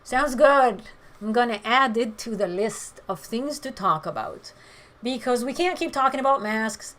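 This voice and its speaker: A woman with a hoarse voice, chatting frankly with viewers during a livestream and giving her opinions with many pauses. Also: on the high side, fairly bright tone. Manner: frank, opinionated, hesitant fillers. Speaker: livestream host. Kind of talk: casual chat answering viewer comments.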